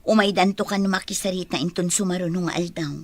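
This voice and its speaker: A woman's voice: fairly low pitch, fairly even intonation, fast talker, close to an everyday voice. A woman playing a frank young bride-to-be in an Ilocano radio drama. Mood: happy, hopeful. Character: frank young bride-to-be who rejects an unwanted suitor.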